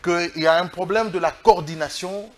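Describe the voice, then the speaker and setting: A Congolese man with a fairly high voice for a male speaker. He talks as a political guest in an interview, making his case emphatically. His delivery is halting, with phrases often restated as he builds the argument. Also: fairly bright tone, a little hiss or hum. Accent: Congolese French. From Democratic Republic of the Congo.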